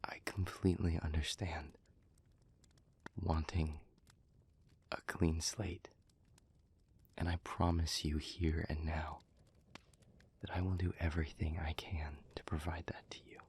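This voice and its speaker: A man playing a gentle, remorseful demon in an intimate audio roleplay, speaking in a breathy near-whisper with halting, earnest care. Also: rumbling low, level tone, a little hiss or hum. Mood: tender, remorseful. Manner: halting, earnest, careful, with long pauses.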